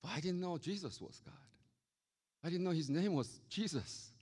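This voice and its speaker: A man from Korea with a Korean accent, preaching a sermon as a pastor and telling stories with lively acted-out voices. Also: a little high, moderate pace. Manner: animated, acts out quoted voices. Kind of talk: storytelling sermon.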